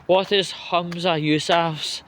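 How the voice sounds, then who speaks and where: A man giving an off-the-cuff commentary rant, sweary and sardonic, speaking in short halting phrases. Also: a little high, fairly even intonation, fairly bright tone. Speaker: vlogger giving political commentary.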